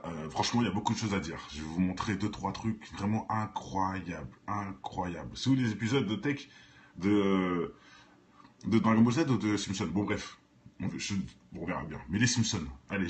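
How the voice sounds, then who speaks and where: A man's voice: deep voice, a little hiss or hum. A man giving casual, improvised commentary in informal, slangy speech, addressing viewers directly and repeating phrases insistently for emphasis. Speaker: online video commentator. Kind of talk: casual improvised commentary on cartoons and conspiracy theories. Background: music.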